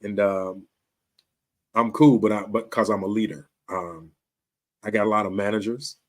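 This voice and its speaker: A man in his fifties with a Chicago accent, hosting his own show and talking straight to camera in a relaxed, slangy way, often checking in with "you dig?". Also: fairly low pitch, normal speed. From Chicago, USA. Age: 50s. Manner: laid-back street slang, frequent "you dig?" and restarts. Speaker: show host. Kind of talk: casual talk to camera.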